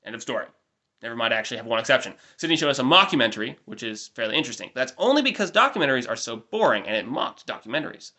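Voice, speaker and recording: A man's voice: mid-range voice, sing-song, racing, sharp tone. A nasal young man giving a wry, rambling recap of a family trip in mock-formal wording. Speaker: vlogger.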